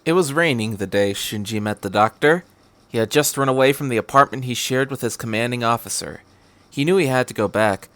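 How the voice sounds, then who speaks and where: A man's voice: medium pitch, fairly quick, fairly bright tone, no room sound. A man hosting a podcast and reading fan fiction aloud as scripted narration.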